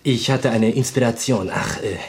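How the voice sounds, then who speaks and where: An actor playing a nervous, theatrical pianist in his twenties in a radio play, with a foreign accent and gushing, halting speech. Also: mid-range voice, lightly acted. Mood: rapturous. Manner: gushing, halting, melodramatic, inverted word order.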